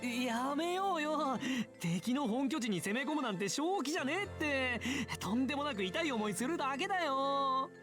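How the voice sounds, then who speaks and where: A flippant young male spirit from a visual novel, teasing his master. The delivery jumps around in pitch and runs quick, with game music underneath. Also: expressive. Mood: pleading.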